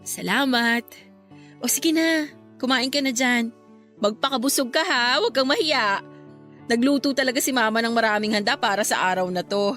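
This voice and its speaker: A woman's voice: fairly high pitch, normal speed, a bit bright, faint music behind, close to an everyday voice. A woman playing a grateful, wistful young woman in a Filipino radio drama, speaking with a Filipino accent. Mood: grateful, warm. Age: young adult. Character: grateful, wistful young woman, newly graduated, missing her late love.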